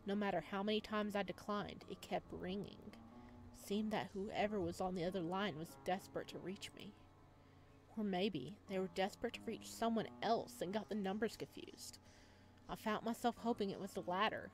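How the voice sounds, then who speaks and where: A woman's voice: mid-range voice, steady tone, normal speed, faint music behind. A woman playing through a visual novel, reading it aloud with dramatic narration, occasional stumbles and casual asides. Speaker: video game playthrough host.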